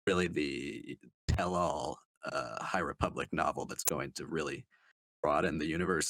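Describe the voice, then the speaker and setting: A man with a very low voice talks through a casual book review. His delivery is halting and hesitant, with sentences that trail off. The sound is dry and close. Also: a bit bright, no echo. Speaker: podcast panelist reviewing books.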